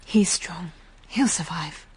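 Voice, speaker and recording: An actress playing an enigmatic, resolute young upper-class woman in a radio drama, soft, breathy and quietly detached. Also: on the low side, slow, slight background noise. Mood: hushed, resolute. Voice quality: soft, breathy, near-whispered.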